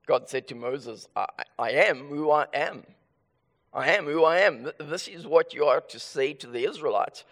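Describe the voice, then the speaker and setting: Raspy South African pastor preaching. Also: male voice, on the high side, normal speed, fairly bright tone, long reverb tail. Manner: earnest, with frequent restarts and fillers. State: hoarse from illness. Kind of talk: sermon with scripture reading.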